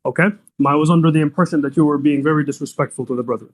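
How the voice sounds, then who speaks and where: Casting: young man, Arabic first language, high voice, pushy debater who talks over others. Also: fairly even intonation, very fast. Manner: insistent, repeats demands, talks over others. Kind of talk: heated online debate.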